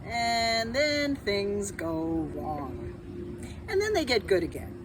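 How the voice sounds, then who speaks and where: Older female, lowish, lively swooping pitch, unhurried; recorded outdoors from a distance with clear noise. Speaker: children's book author. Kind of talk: presenting her book to children. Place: outdoors in a grassy garden. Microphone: far camera or phone.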